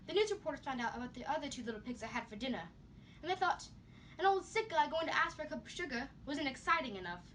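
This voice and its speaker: A woman's voice: high-pitched, sharp tone, recorded from a distance, slight background noise. A woman voicing a sly male wolf who insists he was framed, telling his side like a storybook.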